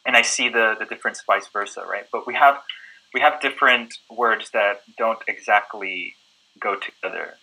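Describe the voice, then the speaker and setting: A man with a Southern American accent, answering interview questions about English in a relaxed, chatty way, often checking in with "right?". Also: on the low side, moderate pace, creaky, slightly rough, poor audio. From southern United States. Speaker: guest English teacher.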